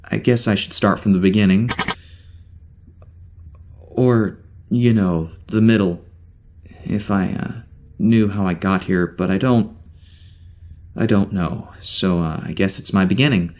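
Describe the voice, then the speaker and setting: A man's voice: lowish voice, darkish tone. A man playing an uneasy amnesiac spaceship security officer, rambling hesitantly through a spoken log in a science fiction audio drama. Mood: bewildered, unsettled. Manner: halting, hesitant, rambling, wry.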